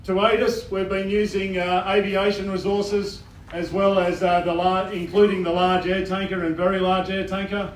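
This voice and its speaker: A man with an Australian accent gives a plain-spoken public briefing as a fire incident controller at a community meeting, speaking loudly into a microphone with many "uh" pauses. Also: high-pitched, level tone, reverberant, distant mic, slight background noise, low-quality recording. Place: bowling club function hall. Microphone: microphone addressing a room.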